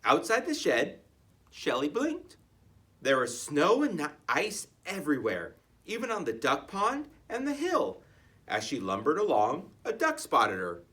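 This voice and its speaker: Very animated, bright-voiced storybook reader. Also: mid-range voice, a little slow. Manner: expressive, playful. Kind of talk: animated children's read-aloud narration with chatty asides.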